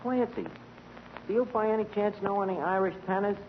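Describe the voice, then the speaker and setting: A man's voice: sits high, fairly even intonation, a little fast. A man, spinning a tall tale and fumbling big words as the lead of a 1940s radio comedy. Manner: tough-guy storytelling with malapropisms. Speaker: tavern manager character, lead comic. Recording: dull 1940s radio broadcast with faint hiss.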